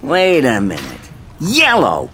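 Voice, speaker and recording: Nervous candy mascot, male, wildly swinging pitch. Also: huge pitch swings, slow pace, sharp tone, exaggerated voice. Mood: suspicious. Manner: worried, jumpy. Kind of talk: animated TV ad.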